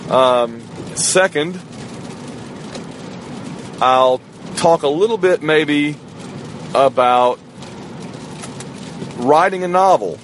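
A hoarse podcaster rambling casually to his dashboard phone on a long rainy drive, road noise humming around him, a man alone at the wheel. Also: fairly high pitch, extremely slow, long pauses. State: slightly hoarse. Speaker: podcast host. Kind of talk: casual solo podcast monologue. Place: inside a moving car. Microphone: smartphone on the dashboard. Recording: road and rain noise inside moving car. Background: road noise and rain.

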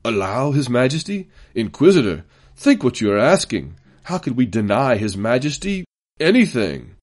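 A man voicing a composed general in an audiobook, measured and mock-innocent with exaggerated politeness. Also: mid-range voice, very animated. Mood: mock puzzled.

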